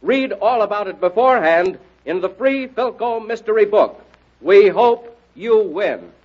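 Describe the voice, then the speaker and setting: High-pitched emphatic vintage radio announcer man. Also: animated, unhurried. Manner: emphatic, exhortative. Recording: muffled vintage radio broadcast recording.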